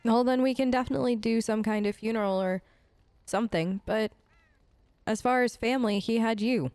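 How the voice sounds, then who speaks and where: A woman hosting a let's play, improvising in character in a casual, halting, supportive conversational way. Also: on the high side, steady tone, a little mellow, clear, resonant tone, no echo.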